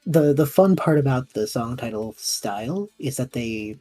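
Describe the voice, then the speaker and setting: A man's voice: mid-range voice, lively intonation, normal speed, mellow, no room sound, soft background music. A man hosting a casual interview, talking in an enthusiastic and thoughtful manner. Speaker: host and audio fiction creator.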